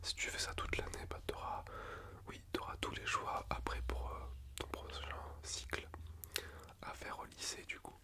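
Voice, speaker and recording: Whispering man in an ASMR nurse roleplay. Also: moderate pace. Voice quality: soft unvoiced whisper. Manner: gentle, reassuring, chatty and rambling. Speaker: ASMR creator playing a school nurse.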